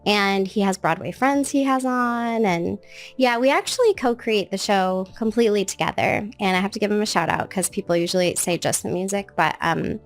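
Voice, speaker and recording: A woman in her late thirties, a guest in a casual interview, with a naturally high, sing-song voice that jumps up into bright baby-talk tones when she quotes. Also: lively intonation, moderate pace, soft background music. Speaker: guest, children's show creator.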